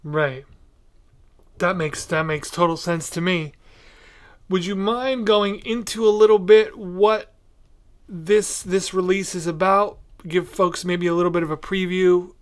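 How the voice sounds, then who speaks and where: A man's voice: high voice, fairly even intonation, a little slow, smooth. A man hosting a podcast interview in casual conversation, feeling out his phrasing as he goes.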